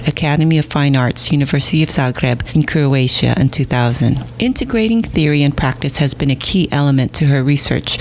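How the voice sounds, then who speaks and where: Woman podcast host, very low voice, formal reading of a guest bio, faint noise behind. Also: rough recording. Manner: formal reading, then warm and chatty. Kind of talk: scripted introduction then interview.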